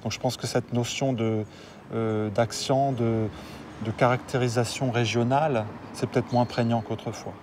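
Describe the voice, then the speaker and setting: A man's voice: medium pitch, steady tone, moderate pace. A man interviewed as an expert, thinking aloud in a thoughtful way. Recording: distant mic with street noise.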